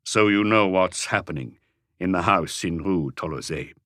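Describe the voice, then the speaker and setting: A calm police inspector from an audiobook mystery, read by a man. His voice sits on the low side and is recorded very dry. He probes patiently with terse, measured questions. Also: dry recording. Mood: probing, patient.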